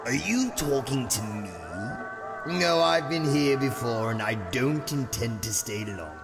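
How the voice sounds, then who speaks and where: A man's voice: medium pitch, lively intonation, a little slow, gravelly, music in the background, a crowd in the background. An actor playing a manic criminal madman in an audio drama, drawn out and theatrical with menace. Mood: sly, mocking.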